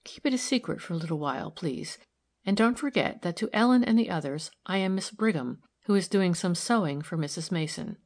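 A composed, shrewd woman from a novel, as a woman narrator reads her. She speaks in a light, friendly, careless way, with some creak in the voice. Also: on the low side, animated, fairly quick, a little mellow. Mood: amused, confiding.

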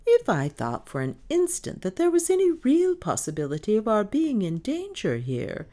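A woman as older household mistress, no-nonsense, decisive, crisp, imperious, highly animated intonation, audiobook character. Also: unhurried, exaggerated voice. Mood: brisk, dismissive.

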